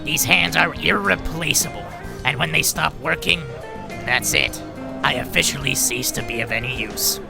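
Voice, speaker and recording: A man's voice: a little high, normal speed, vocal fry, over loud music. A man playing a worn, grumpy but earnest robot doctor in an audio drama, exasperated and insistent. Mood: frustrated.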